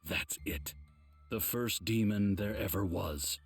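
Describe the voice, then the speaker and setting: Deep old mentor, grave n measured, game cutscene, music under it. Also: male voice, husky, full character voice. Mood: grave, solemn. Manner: measured, solemn, instructive. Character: grave old male mentor of demon slayers. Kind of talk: English game dub, measured explanatory dialogue.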